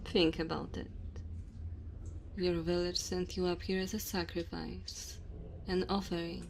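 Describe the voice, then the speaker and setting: A woman as a slow-talking, haughty, teasing dragon woman. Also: fairly low pitch, level tone, creaky, a little hiss or hum. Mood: stern, condescending. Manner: haughty, teasing, addresses listener directly. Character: dominant, teasing immortal dragon woman claiming a human mate. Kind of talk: ASMR roleplay. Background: cave ambience and fire sound effects.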